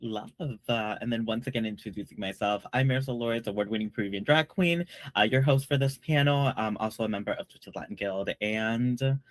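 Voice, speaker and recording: A man's voice: fairly low pitch, brisk, hardly any pauses, no echo. A man hosting a panel in a casual, conversational style. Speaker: panel host, drag queen.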